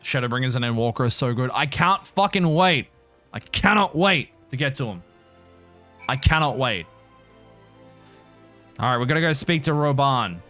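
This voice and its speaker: A man's voice: mid-range voice, expressive, moderate pace, long pauses, bright, forceful tone, soft background music. A man giving casual, rambling live commentary as he streams a game, informal and sweary.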